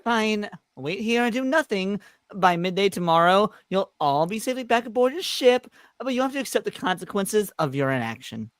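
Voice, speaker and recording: A woman's voice: medium pitch, lively intonation, moderate pace, no room sound, lightly acted. A woman voicing a smug, taunting male trickster who poses as a sheriff, with theatrical mock courtesy, in a live script read. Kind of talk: live amateur script reading.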